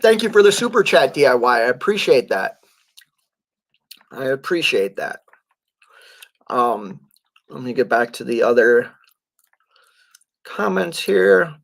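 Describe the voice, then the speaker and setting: A man, a truck driver, chatting casually on a livestream and answering viewer comments, his voice going hoarse, halting with fillers and frequent throat clearing. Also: mid-range voice, sing-song.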